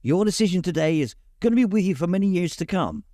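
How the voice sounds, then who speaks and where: A man reading aloud puts on a kindly father coaching his young son in a storybook. The voice is lifted in pitch and lively, with an encouraging, deliberate manner. It sits in a roomy recording. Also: studio quality.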